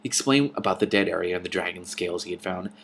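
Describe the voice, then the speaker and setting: A man's voice: low-pitched, fast, gravelly, fairly bright tone. A man hosting a book read-aloud, reading expressively and breaking off for casual, exclamatory asides.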